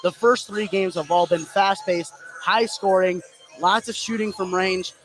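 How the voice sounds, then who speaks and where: Higher-voiced American man calling basketball. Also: fairly even intonation, unhurried, fairly bright tone. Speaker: play-by-play sports commentator. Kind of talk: live basketball radio commentary. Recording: clearly noisy gym ambience.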